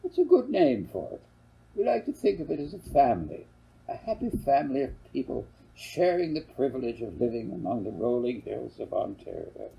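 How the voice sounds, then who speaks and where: Slick salesman in a storybook done by a guy, gravelly n very dark voice, pushy, stammers when rattled. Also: mid-range voice, big pitch swings, normal speed, rough recording. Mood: smooth pitching. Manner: ingratiating, blustering, stammering. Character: slick, flustered salesman pushing a land scheme.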